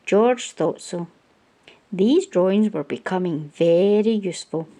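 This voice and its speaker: A woman reading a children's picture book aloud as a storytime host, with expressive storyteller phrasing. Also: lowish voice, measured pace.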